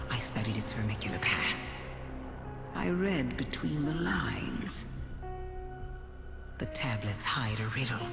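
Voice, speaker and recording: An actress playing a scheming regal queen of a dark alien race, speaking slowly with a hissing, whispered menace. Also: a bass voice, heavily acted. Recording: echoey with long reverb. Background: music.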